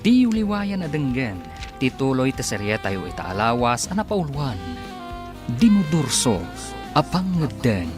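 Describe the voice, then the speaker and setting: A man's voice: mid-range voice, big pitch swings, unhurried. A man with a Filipino accent announces and narrates a radio drama in a scripted, theatrical style. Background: music.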